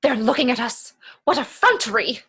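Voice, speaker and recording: A woman acts out a haughty young lady of Victorian society in a play reading. The voice is high and bright, swinging widely in pitch, with formal, indignant emphasis. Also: big pitch swings, moderate pace, breathy edge, heavily acted. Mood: haughty dignity.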